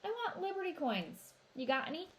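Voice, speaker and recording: A woman's voice: very high voice, big pitch swings, a little fast, voice pushed up. A woman reading the part of a bold, streetwise teenage brother, blunt and assertive as he haggles in a storybook. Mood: cool.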